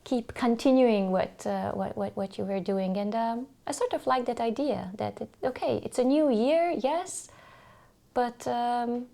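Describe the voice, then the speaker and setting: A woman from Hungary with a Hungarian accent, speaking English in a casual podcast interview. Her voice sits a little high with a gravelly edge. She talks thoughtfully, pausing and restarting often. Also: mellow. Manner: thoughtful, hesitant with many fillers and restarts. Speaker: podcast guest.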